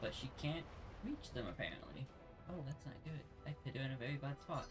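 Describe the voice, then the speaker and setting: A man's voice: medium pitch, animated, fairly quick. A man hosting a let's play, giving casual gameplay commentary as he thinks aloud. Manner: thinks aloud while playing. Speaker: let's play host and player. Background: game music.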